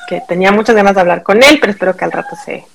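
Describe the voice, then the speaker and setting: A woman's voice: mid-range voice, very fast, gravelly. A middle-aged Mexican woman, a podcast guest telling a personal story in casual conversation, acting out what people said.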